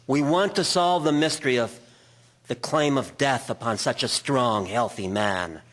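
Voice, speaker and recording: A man acting as an earnest nineteenth-century doctor in an audio drama. He has a mid-range voice. His wording is formal and measured, like a stage professional addressing others. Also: mid-range voice, fairly bright tone, lightly acted. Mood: solemn, formal.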